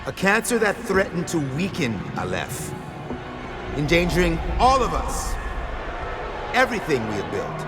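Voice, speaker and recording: An actor playing a menacing, grandiose crime lord in a game cutscene, speaking with pompous, theatrical deliberation. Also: male voice, a little high, huge pitch swings, a little slow, pauses often, over loud music. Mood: ominous, theatrical. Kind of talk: dramatic villain monologue in a game cutscene.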